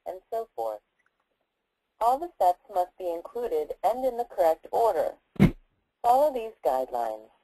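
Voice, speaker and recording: Very dark-voiced woman narrator, lively intonation. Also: lowish voice, no room sound, low-quality recording. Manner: measured, formal. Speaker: instructional video narrator. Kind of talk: scripted educational narration.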